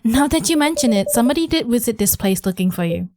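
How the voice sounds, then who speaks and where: A woman voicing a calm, knowing coffee shop barista in a visual novel, lightly teasing. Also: on the high side, fast, over music, natural voice.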